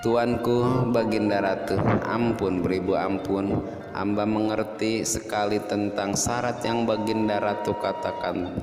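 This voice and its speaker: Man acting a humble, slow-spoken prince in deep reverb. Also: steady tone, lightly acted. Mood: torn, reverent. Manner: deferential, flowery courtly speech. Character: humble young prince, deferential, honest, torn. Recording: echoey long reverb, music behind.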